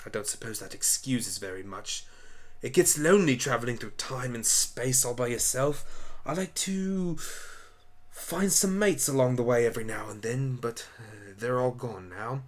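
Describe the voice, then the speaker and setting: A man's voice: mid-range voice, moderate pace, natural voice. A man playing an apologetic alien time traveler, lonely yet whimsical, rambling politely in an amateur radio play. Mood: lonely, remorseful.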